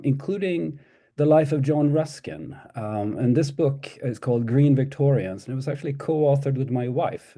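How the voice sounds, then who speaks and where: A middle-aged Swedish-born man presents an academic talk over a video call. His voice is very dark and mellow, and he hesitates often with ums and restarts. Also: mid-range voice. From Sweden. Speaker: guest lecturer.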